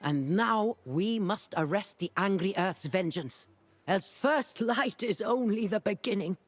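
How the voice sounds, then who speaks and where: Grumbling erudite alchemist for a game: husky, very animated, exclamatory. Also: medium pitch, measured pace. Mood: troubled, grave. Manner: theatrical, exclamatory, exasperated. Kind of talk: video game cutscene dialogue.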